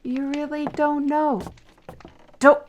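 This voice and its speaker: An actress playing a weary, irritable fantasy artisan in an audio roleplay, speaking in an exasperated, deliberate way with sighs. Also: female voice, high voice, little pitch movement, dull audio, slight background noise. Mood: weary, grudging. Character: weary, irritable female fantasy artisan under council watch.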